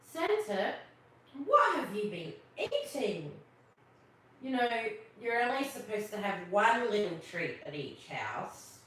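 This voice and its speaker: A woman's voice: mid-range voice, big pitch swings, takes it slowly, a bit bright, heavy reverb, far from the mic, slight background noise, rough recording. A woman voicing an exasperated reindeer, scolding and dramatic, in a storybook reading. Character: exasperated scolding reindeer stuck pulling a sleigh.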